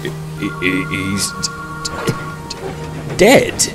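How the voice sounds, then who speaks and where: A man's voice: low voice, expressive, normal speed, music in the background. A man voicing a nervous, earnest male locomotive in a children's story, easily frightened and indignant. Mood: frightened, stammering. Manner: stammering when shocked, indignant.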